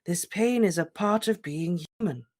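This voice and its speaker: A reader voicing an old male headmaster wizard, speaking in a calm, measured, gentle way. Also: medium pitch, voice pushed up, lightly acted. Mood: serene. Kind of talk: audiobook character reading.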